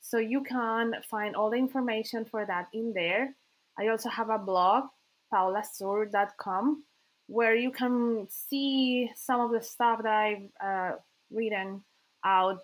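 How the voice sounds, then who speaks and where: A woman with a Colombian accent and Spanish as her native language, introducing herself informally as a podcast host, with hesitant, pause-filled delivery. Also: fairly high pitch, low-quality recording.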